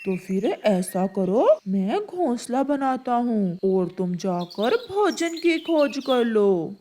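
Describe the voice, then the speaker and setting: A woman's voice: a little high, big pitch swings, takes it slowly, mellow, a little hiss or hum. A woman voicing a scheming, bossy male crow in a children's cartoon.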